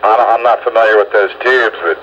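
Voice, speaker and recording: A man's voice: fairly low pitch, steady tone, fast, a bit bright, muffled recording. An older man chatting casually with fellow hobbyists over the radio as he hosts a round-up. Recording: very noisy radio transmission.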